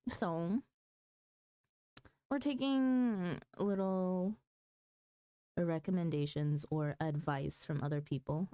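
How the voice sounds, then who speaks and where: A woman's voice: deep voice, warm tone, clear, resonant tone, dry recording. A woman chatting casually on a gaming livestream, thinking aloud in a hesitant way. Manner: hesitant, thinking aloud, mulling over choices. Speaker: game livestreamer.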